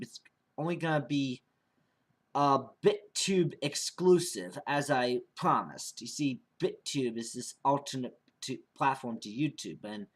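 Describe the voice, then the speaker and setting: A man's voice: mid-range voice, fairly bright tone. A young man giving a casual, unscripted vlog monologue as an amateur podcast creator, rambling and often repeating and restarting his phrases.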